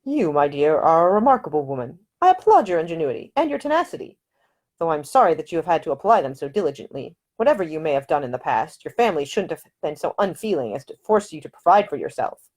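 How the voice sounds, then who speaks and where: A woman voicing a gallant, flattering gentleman spy, speaking in a smooth, courtly and measured way. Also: low-pitched. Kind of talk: audiobook character dialogue.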